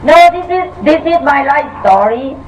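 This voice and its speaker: Older Thai-accented woman, halting speech. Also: high-pitched, animated, recorded from a distance, dull audio, slight background noise. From Thailand. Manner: halting, broken English with many fillers. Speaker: author guest. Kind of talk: interview answers.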